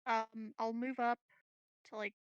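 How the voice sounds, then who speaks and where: A woman's voice: fairly high pitch, little pitch movement, unhurried, smooth, dry recording, dull audio. A woman playing in a tabletop game, talking through her turn unhurriedly. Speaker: player. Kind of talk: casual tabletop game chat.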